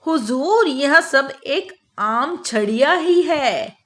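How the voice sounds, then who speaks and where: Woman playing a high-voiced, clever royal adviser. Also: expressive, very slow, a bit bright, heavily acted. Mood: explaining. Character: clever, self-assured male royal adviser Birbal. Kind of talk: Hindi children's moral cartoon dubbing.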